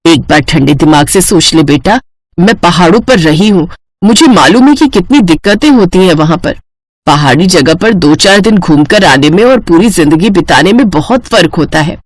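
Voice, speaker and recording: A woman's voice: lowish voice, animated, normal speed, no room sound, voice pushed down. A woman voicing a cautious, sensible middle-aged mother in a cartoon story. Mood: cautioning.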